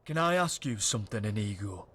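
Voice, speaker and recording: Lively, wry warrior companion. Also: male voice, on the low side, brisk, a little hiss or hum. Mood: teasing, wry. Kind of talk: fantasy video game voice acting.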